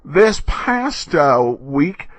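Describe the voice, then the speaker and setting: An American man hosting a podcast, giving a chatty scripted introduction in an announcer style with hesitant pauses. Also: on the high side, very animated, no echo. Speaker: podcast host.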